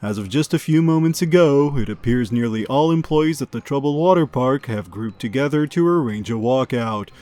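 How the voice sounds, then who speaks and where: A man playing a chipper, rambling amusement park news host, delivering absurd comedic news in an offhand deadpan. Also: mid-range voice, moderate pace, hardly any pauses, close to an everyday voice. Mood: breezy, deadpan. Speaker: podcast host. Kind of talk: scripted comedic news monologue.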